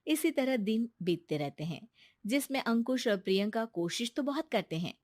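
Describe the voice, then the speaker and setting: A woman's voice: a little high, expressive, normal speed, a little mellow, no room sound, clean studio sound. A woman narrator reading a scripted cartoon moral story.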